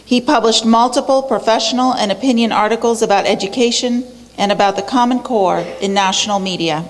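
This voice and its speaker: A woman moderating a panel, reading a speaker's introduction in a brisk, upbeat way. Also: fairly high pitch. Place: lecture hall. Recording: long hall reverb.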